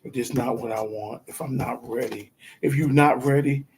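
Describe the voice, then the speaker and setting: A man with a warm, mellow voice offers his thoughts in a casual, halting way, restarting often and leaning on fillers. A faint hiss of background noise sits under him. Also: mid-range voice, steady tone. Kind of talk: casual chat.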